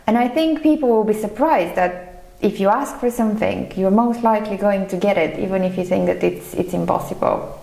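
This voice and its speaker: A woman's voice: medium pitch, a little fast, creaky, mellow. A woman from Bulgaria answering questions as an interview guest, in a thoughtful, hesitant conversational way. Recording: echoey, reverberant room sound.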